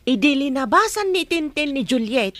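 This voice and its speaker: A woman's voice: sits high, big pitch swings, normal speed. An actress playing a gossiping village woman in a radio drama, confiding what she heard and saw.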